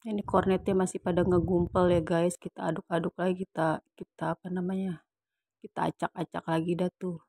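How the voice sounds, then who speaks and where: A woman, a cooking channel host in a home kitchen, talking through a recipe in playful joking banter, her voice a bit hoarse from a sore throat. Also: lowish voice, little pitch movement, brisk, mellow, no echo. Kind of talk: casual cooking tutorial chat.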